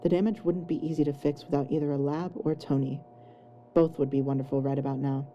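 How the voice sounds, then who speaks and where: Woman narrating fanfic, low voice, super mellow and dark, bit of background hiss. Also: flat delivery, brisk, highs cut off. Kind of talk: scripted fan fiction narration. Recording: home recording with faint noise.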